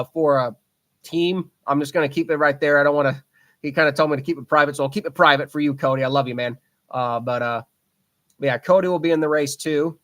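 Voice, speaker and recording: A man in his twenties hosts a casual podcast by himself. His voice sits a little on the high side. He rambles with plenty of ums and restarts, and he talks with a fan's eager enthusiasm. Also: a bit bright. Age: 20s. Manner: rambling, frequent fillers and restarts; enthusiastic fan talk. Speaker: podcast host. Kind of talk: casual solo podcast chat.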